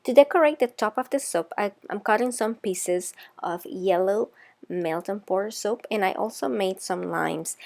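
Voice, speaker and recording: A woman's voice: mid-range voice, creaky. A woman from El Salvador with a Salvadoran Spanish accent, narrating a craft tutorial as its host. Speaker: craft video host, soap maker.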